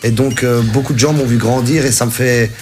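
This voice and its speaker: A young man from Belgium, a guest musician talking casually in an interview, relaxed and full of fillers and repeated phrases. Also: mid-range voice, fairly quick, faint music behind.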